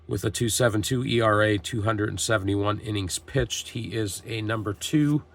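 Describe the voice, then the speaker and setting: A man's voice: on the low side, moderate pace, vocal fry. A man hosting a tabletop baseball game, reading lineups and calling plays like a broadcaster while getting over a cold. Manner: steady, reads lineups and calls plays like a broadcaster. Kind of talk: play-by-play narration of a dice game.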